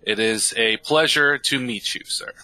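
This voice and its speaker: A man's voice: mid-range voice, unhurried, bright, forceful tone, rough recording. A man voicing a stiff, formal army colonel in a tabletop game, who speaks of himself as "this one.". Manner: stiff formality, refers to self in third person.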